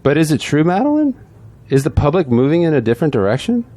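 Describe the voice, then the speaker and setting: A man's voice: a little high, big pitch swings, fast, dark tone, no echo. A man playing a beleaguered, pragmatic veteran politician in an audio drama, speaking in an emphatic, world-weary way. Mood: worried.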